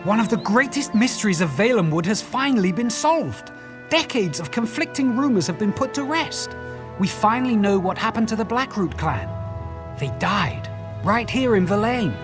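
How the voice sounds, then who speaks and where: Eager, higher-voiced elf scholar. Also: male voice, expressive, moderate pace, over music. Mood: excited, eager. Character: eager, scholarly male elf investigator of old mysteries. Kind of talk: fantasy video game acting.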